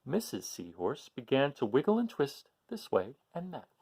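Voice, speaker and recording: Gravelly, animated man reading a picture book. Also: medium pitch, moderate pace. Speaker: children's book read-aloud host. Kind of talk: expressive storybook reading with casual asides.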